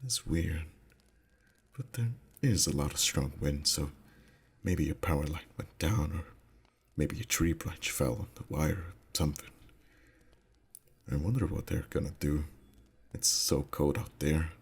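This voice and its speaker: Very deep, soft-spoken boyfriend played by a man. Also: measured pace, creaky, pauses often, breathy edge, full character voice. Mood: relaxed, musing. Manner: soft, intimate, slipping into whispers. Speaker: voice actor. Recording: faint ambient background noise.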